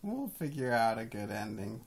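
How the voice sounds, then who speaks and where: A man's voice: mid-range voice, big pitch swings, normal speed, a little hiss or hum. A man in his thirties chatting casually and playfully in a small bedroom, musing as he goes. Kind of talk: casual chat. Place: small bedroom, lying in bed.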